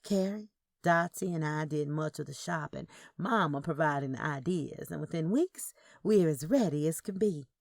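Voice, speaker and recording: A woman's voice: low-pitched, normal speed, creaky, no echo, studio quality. A woman narrating a first-person story, with character dialogue acted out. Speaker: narrator of audio fiction.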